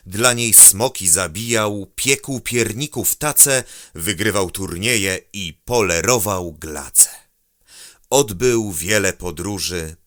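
A man's voice: fairly low pitch, sharp tone, no room sound, studio quality. A man reading scripted voice-over as the announcer of a children's story series. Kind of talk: scripted rhyming intro and promotional voice-over.

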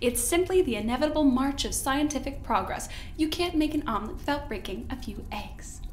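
A woman plays a haughty scientist from an audio drama, mock-polite and quietly menacing. The voice sits fairly high and is theatrical, with clear room echo and faint noise behind it. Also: lively intonation, a little fast. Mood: coolly menacing. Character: haughty, smoothly menacing scientist in charge of experiments.

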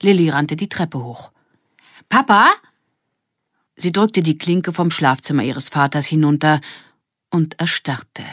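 Woman reading a story, evenly pitched, dry sound. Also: measured pace, breathy edge, no echo. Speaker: audiobook narrator. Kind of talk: scripted narration of a children's story.

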